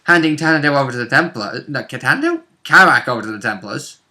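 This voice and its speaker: A man's voice: medium pitch, animated, quick pace, sharp tone. A man co-hosting as a player on a role-play vidcast, bantering casually in a jokey, exclamatory, mildly sweary way.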